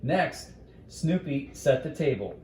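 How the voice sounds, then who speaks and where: A man's voice: medium pitch, lively intonation, slow pace, a little mellow, slight background noise. A man reading a bedtime story aloud as a storyteller, in a roomy, echoing recording.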